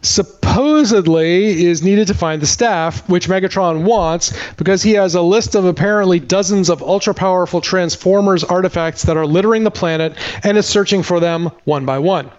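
A man's voice: high voice, normal speed, few pauses, a little mellow, muffled recording. A man hosting a comedy podcast, recapping a film to a co-host in a sardonic, exasperated way. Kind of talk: comedic movie recap read in dialogue with co-host.

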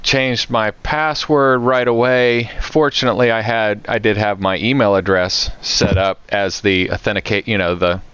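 A man's voice: lowish voice, steady tone, normal speed, hardly any pauses, fairly bright tone, smooth, no room sound, highs cut off. An American man chatting casually on a podcast as a co-host, telling anecdotes in a conversational way. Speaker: podcast co-host, IT security professional.

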